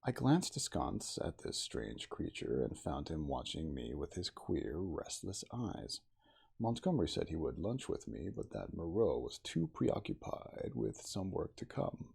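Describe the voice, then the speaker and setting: Deep, gravelly man reading dramatically. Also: very animated, unhurried, darkish tone. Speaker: audiobook narrator reading a classic novel in character. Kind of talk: ASMR dramatic storybook reading.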